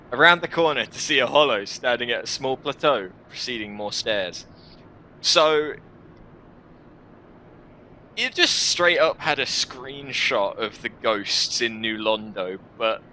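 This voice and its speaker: A man's voice: medium pitch, bright, forceful tone, a little hiss or hum, low-quality recording. A man hosting a gaming video, reading visual novel text aloud with dramatic narration and wry, incredulous asides.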